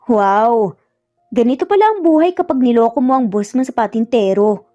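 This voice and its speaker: A woman's voice: a little high, lively intonation, normal speed, mellow, muffled recording, faint music behind, lightly acted. A Filipino woman voicing a cheeky young nanny who teases with playful exclamations in a story. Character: cheeky, teasing young nanny talking back to boss.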